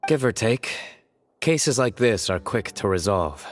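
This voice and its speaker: An actor playing a stern, dry official who speaks bluntly, in a game scene with faint music. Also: male voice, fairly low pitch, expressive, unhurried.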